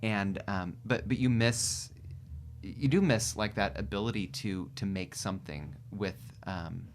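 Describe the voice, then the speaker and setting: A man, a musician guest in a casual interview, who talks haltingly, with many fillers and repeated words. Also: fairly low pitch, slight background noise.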